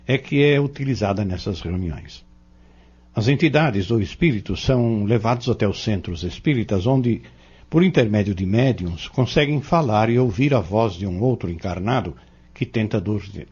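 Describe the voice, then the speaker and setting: Actor as a husky, deep-voiced Brazilian Spiritist teacher. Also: male voice, darkish tone. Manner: didactic, emphatic, measured phrasing. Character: patient, earnest male Spiritist teacher explaining doctrine. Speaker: Spiritist instructor in an audio drama. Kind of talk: didactic audio-novel dialogue. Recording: dull, lo-fi audio.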